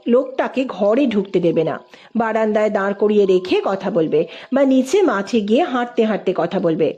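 A woman's voice: on the high side, warm tone. A woman reading a literary story aloud as a scripted narrator.

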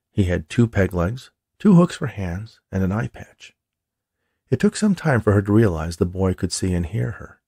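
A man's voice: deep voice, vocal fry, dark tone, breathy edge, dry recording, clean studio sound. A man who is an author, narrating a chapter of his own story from the page. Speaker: author reading own book.

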